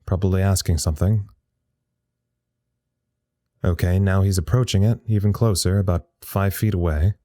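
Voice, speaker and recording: An actor playing a bored, sardonic communications officer at a secret lab, wry and rambling in naturalistic audio drama style. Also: male voice, sits low, dark tone, no echo, clean studio sound.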